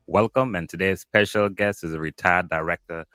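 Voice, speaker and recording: Man, Trinidadian accent, lowish pitch, dry close sound, informal podcast host. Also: moderate pace, sharp tone, clean tone, dry recording. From Arima, Trinidad. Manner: informal, frequent affirmations. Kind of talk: casual interview chat.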